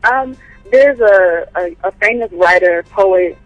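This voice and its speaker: A woman poet calling in to an interview, answering thoughtfully with frequent pauses and fillers. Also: medium pitch, dry recording, muffled recording, soft background music. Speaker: guest poet and writer. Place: calling in from home by phone.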